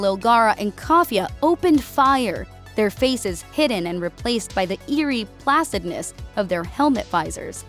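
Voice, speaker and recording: A woman giving a dramatic scripted narration of an action story as an audiobook narrator. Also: fairly high pitch.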